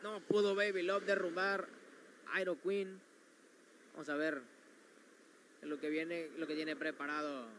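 Bright-voiced play-by-play man. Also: fairly high pitch, flat delivery, a little slow, long pauses, a little hiss or hum. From Mexico. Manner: calling moves as they happen. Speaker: wrestling commentator. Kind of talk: play-by-play commentary. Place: university gym arena.

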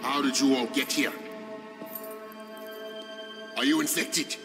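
A man's voice: mid-range voice, fairly even intonation, takes it slowly, music in the background, lightly acted. An actor playing a wary, slangy tough man who drives a hard bargain in a video game. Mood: guarded, bargaining. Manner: slangy, guarded. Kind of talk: video game cutscene acting.

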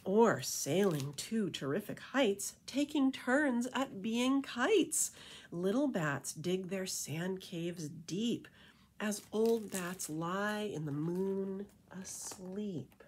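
A middle-aged woman reads a children's picture book aloud as a librarian, with rhythmic, animated storytelling and casual asides. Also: mid-range voice, drawn-out words, slight background noise. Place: indoor room with painted wall.

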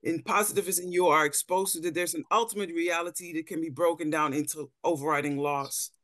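A woman's voice: on the low side, fairly even intonation, fairly quick, no echo, clean studio sound. A middle-aged woman from the Netherlands, an anthropologist giving a thoughtful talk with slides over a video call, often repeating words as she looks for phrasing. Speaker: panel speaker, anthropologist. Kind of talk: lecture-style talk with slides. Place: indoor room on a video call.

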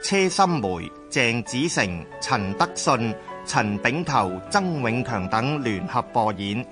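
A man narrates history for a radio drama in a Hong Kong Cantonese accent. His pitch is medium and his delivery slow and formal. Faint music plays behind him. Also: big pitch swings. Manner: measured, formal.

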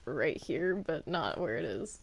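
Sassy, reluctant demon villain played by a woman, lively intonation, slow pace, improvised game roleplay. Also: deep voice, creaky, slight background noise, heavily acted. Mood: playful. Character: stubborn, indignant cartoon demon Bendy, reluctant villain.

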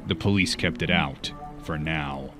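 Man acting a very deep, brooding masked vigilante. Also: unhurried. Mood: grim, commanding. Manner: terse, grave. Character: brooding masked male vigilante, stern and commanding. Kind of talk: comic fan dub, narration and dialogue. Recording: music bed behind.

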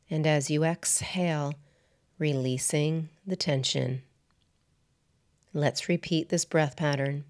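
A woman guiding a meditation as a yoga nidra teacher, in a soothing, measured manner with deliberate pauses between short phrases. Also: deep voice, darkish tone. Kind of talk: guided meditation narration.

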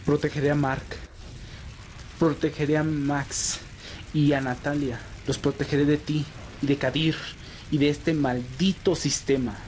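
A man's voice: fairly high pitch, little pitch movement, unhurried, a little mellow, close to an everyday voice. An earnest vampire played by a man pleads dramatically with his old friend in an audio drama. He is loyal and anguished, with faint noise behind him. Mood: resolute, regretful. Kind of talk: amateur Spanish audio roleplay drama.

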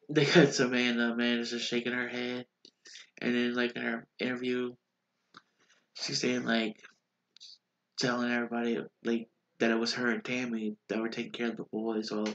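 A man's voice: medium pitch, monotone, normal speed, pauses often, a bit bright, highs cut off. A man in his thirties with a stuffy, congested nose, chatting casually through a rambling recap in a small bedroom on a webcam, with lots of fillers and restarts. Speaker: vlogger recapping a reality show. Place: small bedroom with posters on the wall. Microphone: webcam at desk.

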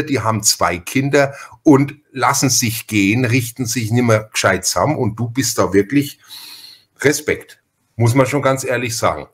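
A man from Franconia with a Franconian German accent, hosting a casual interview podcast in a chatty, rambling way. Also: medium pitch. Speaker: podcast host.

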